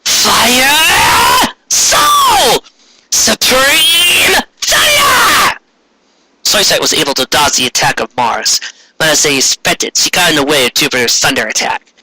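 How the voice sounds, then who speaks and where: A man's voice: medium pitch, a little fast, sharp tone, rough recording. A man reading fan fiction aloud as comic, dramatic narration in a theatrical manner. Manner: theatrical, performs many character voices.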